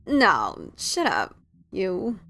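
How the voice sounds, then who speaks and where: Brash heroine pony for fantasy audio story , acted by a woman: skeptical, informal, very animated. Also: mid-range voice, very slow, full character voice. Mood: incredulous, defensive. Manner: blunt, incredulous, informal. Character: brash, skeptical adventurer mare, adult female pony. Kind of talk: fan fiction dramatic reading.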